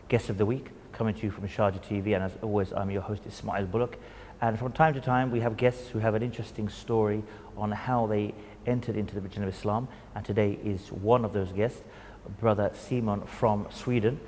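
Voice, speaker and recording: A male talk show host who talks quickly and smoothly, his voice sitting a bit low. He opens with Arabic greetings and asks questions in a fluent, steady way. The studio sound has a long echo and a faint hiss underneath.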